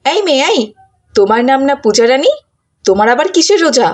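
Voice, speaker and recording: A woman playing a kindly but firm schoolteacher in a cartoon, instructing and questioning children with a husky edge. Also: high voice, big pitch swings, brisk, darkish tone. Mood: surprised, indulgent. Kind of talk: cartoon dialogue acting.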